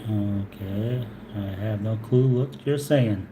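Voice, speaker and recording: A man's voice: fairly low pitch, takes it slowly, background noise. A man from Alberta with a Canadian accent, chatting casually on a live stream, answering viewers and repeating his words as he rambles.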